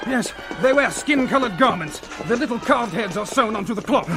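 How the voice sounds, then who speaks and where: An actor playing an agitated captive professor in a radio adventure serial, urgent and stammering. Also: male voice, very high voice, expressive, moderate pace, over music. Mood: anxious, explaining. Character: frightened male professor, rescued captive expedition leader. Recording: old radio drama recording.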